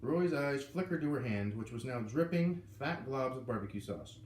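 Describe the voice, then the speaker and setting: A man reading a children's novel aloud, lively and putting on voices for the characters. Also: medium pitch, moderate pace, distant mic. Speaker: reader. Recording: echoey room with faint ambient noise.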